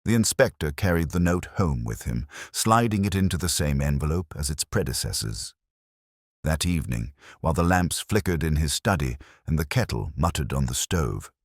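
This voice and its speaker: A man narrates a scripted detective story in an extremely low voice. His telling is measured and literary, with lively intonation. The sound is dry and close. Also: no room sound.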